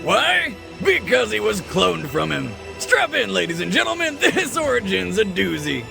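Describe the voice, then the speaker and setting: A man's voice: sits high, big pitch swings, moderate pace, gravelly, music in the background. A man co-hosting a game analysis show, delivering hyped, joking scripted narration in a brash, punchy way.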